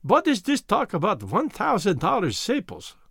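A man as a literal-minded beat policeman, highish voice. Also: huge pitch swings, moderate pace, vocal fry, fairly bright tone, husky, no room sound, clean studio sound, heavily acted. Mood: matter-of-fact. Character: literal, persistent beat policeman, former fur seller.

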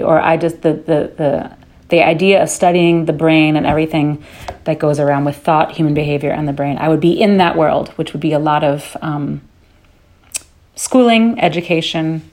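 Woman, interview guest, slightly low voice, chatty, restarts mid-thought. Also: steady tone, moderate pace, darkish tone. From born in London, raised in New York City. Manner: chatty, thinks aloud with restarts. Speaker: guest singer. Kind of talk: casual interview chat.

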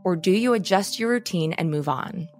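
A hoarse woman with a low voice co-hosts a true crime podcast and reads scripted passages in a measured, dramatic way. Faint music runs underneath her. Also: animated. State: hoarse from karaoke. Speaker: podcast co-host. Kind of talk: podcast chat and scripted narration.

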